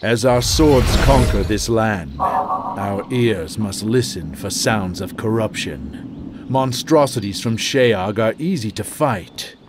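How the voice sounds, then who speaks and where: A low-voiced, imperious necromancer lord. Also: male voice, expressive, noisy background. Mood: menacing, haughty. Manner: pompous, measured, archaic phrasing. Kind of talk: dramatic fantasy game dialogue. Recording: loud music under the voice.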